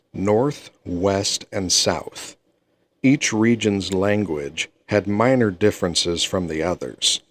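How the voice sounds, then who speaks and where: A man reading a fantasy novel aloud in the first person, at an even, unhurried pace. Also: deep voice. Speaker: audiobook reader.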